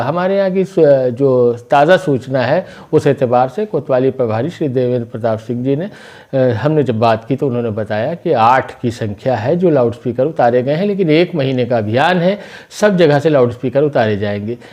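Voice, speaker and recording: A man's voice: medium pitch, dark tone. A man presenting local news commentary, explaining things point by point in a conversational way.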